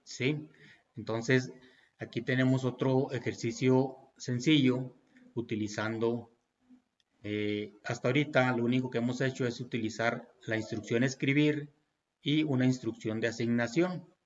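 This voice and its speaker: A man's voice: mid-range voice, steady tone, a little slow, highs cut off. A man teaching programming in a tutorial, walking through each step patiently with fillers and self-corrections. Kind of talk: screen-recorded tutorial walkthrough.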